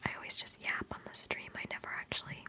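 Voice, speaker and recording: A woman in her twenties whispering through a relaxed livestream chat, rambling and giggling between thoughts as an ASMR streamer. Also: quick pace. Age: 20s. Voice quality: soft whisper.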